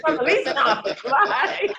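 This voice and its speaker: A woman's voice: high-pitched, sing-song, normal speed, dull audio, over a noisy crowd. A woman hosting a casual group video-call chat, talking in a chatty, slangy way with frequent laughter. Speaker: host and cast member of an audio series.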